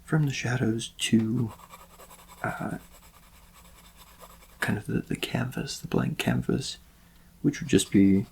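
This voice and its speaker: A man speaking softly in a gentle, unhurried, reassuring ASMR roleplay as an artist, his voice sometimes thinning toward a whisper. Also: on the low side, very animated, slight background noise. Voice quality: soft, breathy-edged voice dropping into whispers and creaky fry. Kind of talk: soft-spoken ASMR personal-attention roleplay.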